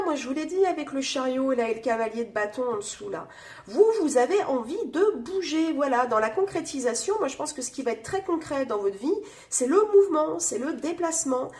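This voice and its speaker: A woman's voice: sits high, animated, fairly quick. A woman with a cold reading tarot cards in casual chat, speaking through her nose with many fillers and talking straight to her viewers. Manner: chatty, many fillers, addresses viewers directly. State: has a cold, nasal voice. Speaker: tarot reader.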